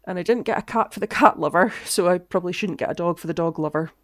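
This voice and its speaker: A woman chatting casually through gameplay commentary, her voice cutting out from a lingering cough as she thinks aloud with soft asides. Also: on the low side, fast talker, no echo, clean studio sound. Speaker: game streamer.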